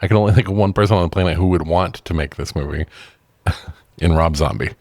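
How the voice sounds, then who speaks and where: Male co-host, very deep voice, dry studio-tight sound, laid-back, casual movie talk with swearing. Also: brisk, darkish tone, no room sound. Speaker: podcast co-host reviewing films. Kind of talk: casual film chat with swearing.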